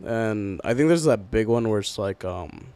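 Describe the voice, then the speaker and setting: A man's voice: fairly low pitch, expressive, moderate pace, a little mellow, smooth, no room sound. A young man from Southern California chatting casually with friends on a podcast, with slangy, profane speech. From Southern California, United States. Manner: profane, slangy, fond of filler phrases. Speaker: podcast host.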